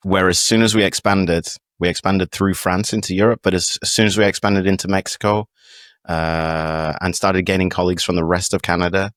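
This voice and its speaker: A very low-pitched British man explains his views as a guest on a podcast. His delivery is casual and reflective, wandering through long explanations, and the sound is close and dry. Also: fairly bright tone, dry recording. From United Kingdom. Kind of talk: casual interview talk, explanatory.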